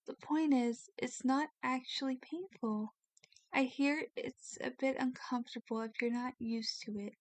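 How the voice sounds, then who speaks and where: Casting: doting vampire mistress, played by a woman, gentle and refined, lightly high voice, very slow soothing delivery for ASMR audio drama. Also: dry recording. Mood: reassuring, coaxing. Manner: gentle, soothing, genteel, coaxing. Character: kindly, doting female vampire, genteel mansion owner. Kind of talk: ASMR roleplay, soft scripted acting.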